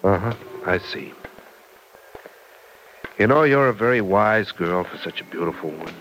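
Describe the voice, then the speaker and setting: A hesitant young suitor, tripping over his words as he pleads. His voice sits fairly low, with a faint hiss from the old broadcast behind it. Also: animated, unhurried, a bit bright, low-quality recording. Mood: affectionate. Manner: hesitant, stammers repeats. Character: impatient young man in love. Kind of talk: 1940s radio acting.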